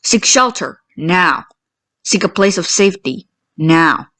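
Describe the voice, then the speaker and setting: A woman delivering a scripted emergency warning broadcast in a formal, impersonal, measured manner. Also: fairly low pitch, expressive, fairly bright tone. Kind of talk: scripted emergency alert announcement.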